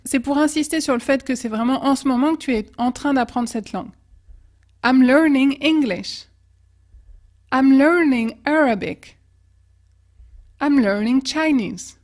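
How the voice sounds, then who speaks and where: High-voiced woman teaching English slowly. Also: a little mellow. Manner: slow, careful model pronunciation of example phrases. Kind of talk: language lesson with example phrases.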